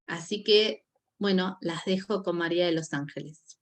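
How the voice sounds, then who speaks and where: A woman's voice: on the low side, moderate pace. A woman hosting an online course, introducing the guest speaker with some hesitant fillers. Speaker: course coordinator and host.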